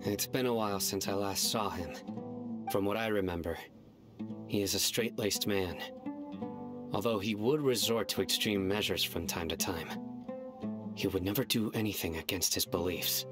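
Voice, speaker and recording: Stern young judge, voiced by a man, very deep, grave and terse, game audio over loud music. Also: moderate pace, natural voice. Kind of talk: game voice acting.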